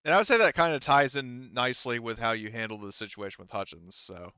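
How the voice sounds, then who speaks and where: A man casually running a tabletop roleplaying game as game master, halting and thinking aloud as he goes. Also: medium pitch, bright, forceful tone, clear, resonant tone, dry recording, highs cut off.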